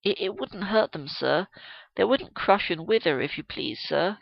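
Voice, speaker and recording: A meek little schoolgirl, played by a man, earnest and hesitant, pleading politely in a raised, light pitch. It is a storybook character voice, recorded dry and slightly muffled. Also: lively intonation, unhurried, vocal fry, no echo, full character voice. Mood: timid pleading. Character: timid, polite young schoolgirl, earnest and deferential.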